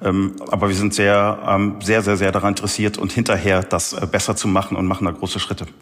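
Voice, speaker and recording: Low-voiced man in a very reverberant room. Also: level tone, fast, a bit bright. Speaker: interview guest, company representative. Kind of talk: casual explanatory interview talk. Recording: long reverberant room echo.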